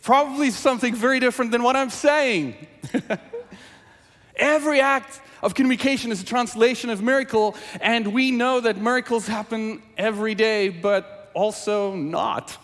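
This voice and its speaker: A man's voice: extremely high pitch, normal speed, long reverb tail. A South African man whose first language is Afrikaans, preaching a sermon with scripture reading and asking his audience questions. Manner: animated, engaging the audience with questions. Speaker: pastor.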